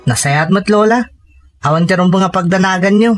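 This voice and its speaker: A man's voice: high-pitched, fairly quick, soft background music, lightly acted. A man playing an earnest, dutiful young grandson and student in an Ilocano-accented audio drama. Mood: reassuring.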